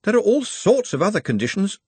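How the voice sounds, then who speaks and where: A man reading an audiobook, voicing a fussy, worried old male scholar who speaks slowly and pedantically. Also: high-pitched, very animated, a little mellow, husky, no room sound, pitched above the performer's own voice, heavily acted. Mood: fretful. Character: fussy, fretful old male keeper of traditions.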